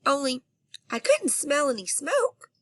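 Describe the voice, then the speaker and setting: A woman's voice: high-pitched, big pitch swings, unhurried, no room sound, studio quality. A woman teacher reading a children's book aloud expressively and asking her young listeners questions.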